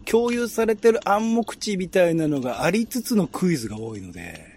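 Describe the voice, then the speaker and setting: A man's voice: high-pitched, very animated, a little fast, darkish tone, a little hiss or hum. A man hosting a casual talk show, chatting informally as he explains things and puts questions to others. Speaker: podcast host. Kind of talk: casual chat, explaining and asking guest.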